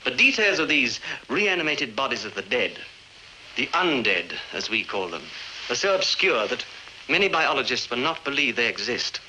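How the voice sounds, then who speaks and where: A man voicing a self-assured, learned vampire scholar in a period radio drama. He lectures with authority in a very bright, lively voice, with faint ambience behind him. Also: medium pitch, normal speed, a little hiss or hum, rough recording. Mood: lecturing.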